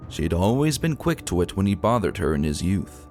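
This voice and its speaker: A man with a low voice narrates a dramatic fantasy tale. His delivery is solemn and theatrical, with lively swings in pitch, over music. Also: fairly quick. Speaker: audiobook narrator. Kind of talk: dramatic fantasy story reading. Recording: music behind the voice.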